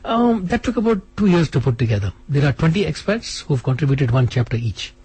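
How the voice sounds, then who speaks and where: Male radio guest, rapid delivery, husky low-lit timbre. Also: poor audio. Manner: fast, animated, restarts often. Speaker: interview guest, author. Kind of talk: casual radio interview. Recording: radio studio.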